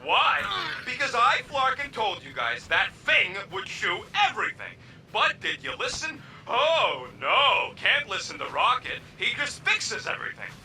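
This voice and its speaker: A man's voice: high-pitched, huge pitch swings, a little slow, sharp tone, a little hiss or hum, exaggerated voice, low-quality recording. A man voicing a cranky, wisecracking small furry creature mechanic in a video game, with sarcastic street-tough speech. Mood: smug irritation.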